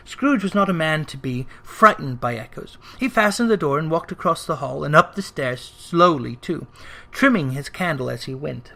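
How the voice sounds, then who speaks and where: A narrator reading a classic story aloud in an expressive, theatrical way, with deliberate, drawn-out emphasis on phrases. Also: mid-range voice. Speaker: narrator, audiobook reader.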